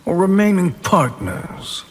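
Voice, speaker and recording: A man's voice: high voice, sing-song, slow, exaggerated voice. A man playing a cunning, haughty sorcerer in a fighting game, with a calculating manner. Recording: long reverb, faint noise.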